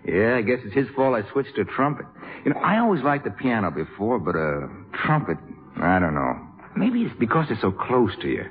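An actor playing an earnest, shy young American jazz trumpeter with a halting delivery in a 1950s radio drama. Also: male voice, mid-range voice, very animated, natural voice. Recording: muffled, hissy 1950s radio recording.

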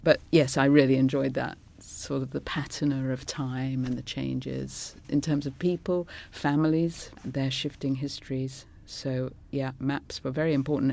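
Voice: mid-range voice, gravelly, a little mellow, a little hiss or hum. A middle-aged author with a polished English accent and Scots as a first language, answering interview questions thoughtfully with small laughs. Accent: English, trained by elocution lessons. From England. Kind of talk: reflective conversational interview answers.

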